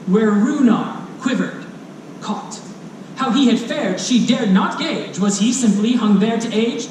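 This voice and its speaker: A storyteller performing a rhyming children's book live, playful and dramatic, with drawn-out lines and asides. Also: medium pitch. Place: live performance room at a convention. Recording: roomy, distant live hall recording with noise.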